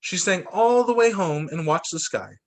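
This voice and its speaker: A man teaching young children, reading storybooks aloud over a video call. His voice sits a bit high and swoops lively up and down, and he breaks off to talk to the kids. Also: normal speed. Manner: animated, stops to explain to kids. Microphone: laptop mic on video call.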